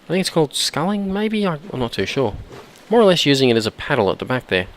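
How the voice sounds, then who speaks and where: A man with a hoarse, croaky voice from a cold, chatting casually while he plays and often clearing his throat. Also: on the high side, very animated, fast talker. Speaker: gameplay commentator. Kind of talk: casual let's-play commentary.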